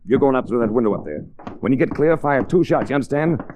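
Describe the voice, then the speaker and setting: An actor playing a tough, cool-headed adventurer in a 1940s radio drama, clipped and commanding. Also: male voice, mid-range voice, animated, quick pace, dark tone, a little hiss or hum, natural voice. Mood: urgent, commanding.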